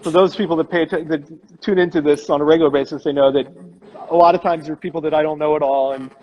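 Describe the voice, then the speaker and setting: A man's voice: a little high, fairly even intonation, quick pace, a little mellow, highs cut off, slight background noise. Man hosting a casual video chat. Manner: loose and conversational, with restarts. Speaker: chef, interview host. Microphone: phone on a live video chat.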